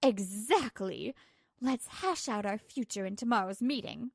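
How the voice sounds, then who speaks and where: High-voiced woman narrating a scripted story. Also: measured pace, gravelly, slightly rough, dry recording, studio quality. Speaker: narrator and lead character.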